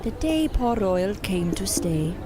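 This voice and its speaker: A woman playing a contemptuous, cryptic circus mystic in an audio drama, speaking in a deliberate, theatrical and cutting way. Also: mid-range voice, big pitch swings, background noise. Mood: ominous, cryptic.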